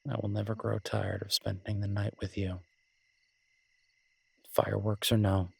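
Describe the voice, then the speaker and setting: Romantic audio roleplay: werewolf boyfriend voiced by a man, husky and lowish, teasing yet devoted, conversational, very dry sound. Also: little pitch movement, no room sound, heavily acted. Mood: tender. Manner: tender, teasing, halting conversational delivery. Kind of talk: romantic roleplay audio, intimate one-sided dialogue.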